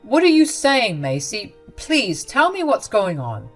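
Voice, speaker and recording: A woman's voice: mid-range voice, wildly swooping pitch, measured pace, faint music behind, voice pushed up, exaggerated voice. A woman voicing a protective young husband who talks in an incredulous, demanding way. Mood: puzzled.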